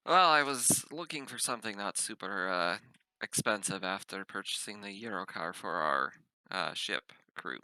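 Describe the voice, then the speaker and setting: A man's voice: low voice, expressive, moderate pace, bright, forceful tone, clear, resonant tone, no echo, poor audio. A man playing a tabletop roleplaying game, speaking casually in character and asking questions.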